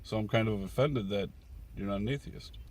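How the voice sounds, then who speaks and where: A man's voice: fairly low pitch, a little fast, a little mellow. A man co-hosting a casual talk show from a car, dry and teasing, often stammering as he starts a thought. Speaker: podcast co-host. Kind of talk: casual banter.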